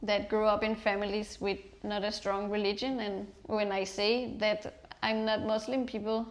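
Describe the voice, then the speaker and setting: A woman with a middle-pitched voice speaks reflectively in a casual talk. She pauses now and then to find her words. Her tone is thoughtful and sincere. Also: flat delivery. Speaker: podcast guest. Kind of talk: casual conversation.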